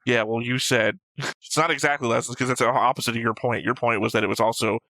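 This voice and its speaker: A man with a bright, sharp voice in a very dry recording. He talks like a co-host in a casual debate, picking points apart in a matter-of-fact, argumentative manner. His pitch sits in the middle. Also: fairly even intonation, fast talker, dry recording, rough recording. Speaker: co-host of an anime reaction show. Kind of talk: casual analytical debate, discussion.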